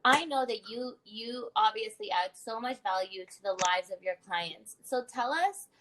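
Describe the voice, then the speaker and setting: A young adult woman hosting a conversational interview podcast, animated and reflective, laughing often. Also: a little high, normal speed, background noise. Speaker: podcast host.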